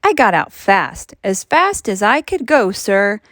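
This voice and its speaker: Animated woman reading a children's story aloud. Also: medium pitch, dry recording, clean studio sound. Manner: dramatic, drawn-out emphasis with big swoops for suspense. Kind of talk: expressive children's read-aloud.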